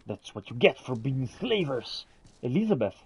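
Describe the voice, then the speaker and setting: A man giving expressive, exclamatory in-character gameplay commentary, thinking aloud as he roleplays. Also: mid-range voice, normal speed, slight background noise. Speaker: gamer roleplaying as a character in a playthrough.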